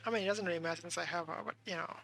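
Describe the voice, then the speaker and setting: Bright, creaky streamer thinking aloud. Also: mid-range voice, steady tone. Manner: thinks aloud in halting fragments. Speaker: game streamer. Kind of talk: casual live gameplay commentary. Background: game music.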